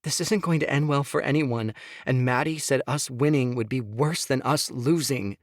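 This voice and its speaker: Male reader doing young mother, pleading and tearful, raised pitch, straining. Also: moderate pace, no room sound, clean studio sound, lightly acted. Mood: anxious.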